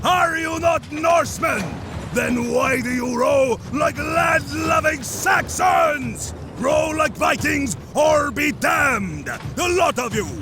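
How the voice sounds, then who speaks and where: An actor playing a gruff, fierce Viking war captain, bellowing orders with a gravelly voice in a comic book audio drama. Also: male voice, extremely high pitch, slow, shouting, background noise, exaggerated voice. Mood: rousing, fierce.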